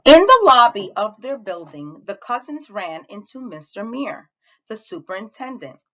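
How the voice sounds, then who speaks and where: A woman reading a picture book aloud to children in an animated, expressive way, as a teacher does. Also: fairly high pitch, normal speed, highs cut off. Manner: animated, acts out the dialogue.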